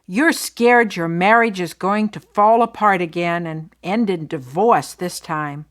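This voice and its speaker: Woman acting a blunt, slow-spoken best friend. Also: fairly low pitch, natural voice. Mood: supportive, firm. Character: concerned, blunt, supportive best friend and coworker. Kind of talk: soap-opera dialogue for English learners.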